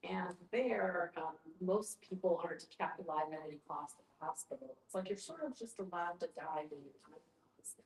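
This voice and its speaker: A woman with a slightly low voice talks reflectively in a group discussion, thinking aloud as she goes. The room is echoey, with faint noise around her. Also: moderate pace, hardly any pauses, a little mellow.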